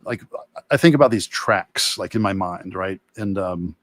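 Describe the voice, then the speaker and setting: Male illustrator-instructor, lowish pitch, reflective and halting, casual demo delivery, very dry recording. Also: no echo. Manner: reflective, halting, long rambling answers. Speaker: illustrator and course instructor demonstrating. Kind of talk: casual demo talk while drawing.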